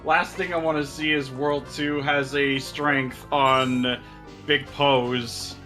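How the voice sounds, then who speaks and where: A man with a bright, forward voice doing casual live commentary on a speedrun race. He explains the game's logic step by step and drops in playful asides, with his pitch sitting in the middle range. Soft music runs quietly in the background. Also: level tone. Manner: jokey, analytical, quick asides.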